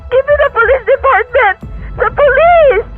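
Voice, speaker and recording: A woman's voice: very high voice, lively intonation, moderate pace. A woman playing a nervous, demanding invalid wife in a radio thriller, stammering and pleading insistently. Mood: frantic pleading. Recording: tinny phone-like audio with music.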